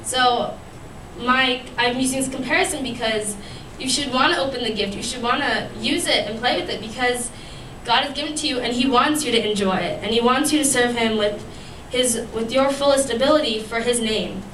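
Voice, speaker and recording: Young woman sharing a devotional at church, kinda high voice, lots of ums, echoey room and far from the mic. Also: moderate pace, fairly bright tone, slight background noise. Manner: earnest, many ums and restarts. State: recovering from a lost voice. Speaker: youth speaker at church fellowship.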